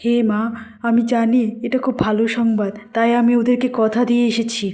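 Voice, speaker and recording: A woman's voice: a little high, little pitch movement, normal speed, dark tone, close to an everyday voice. A woman voicing a cheerful, caring young man, an earnest elder brother in a cartoon. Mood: excited.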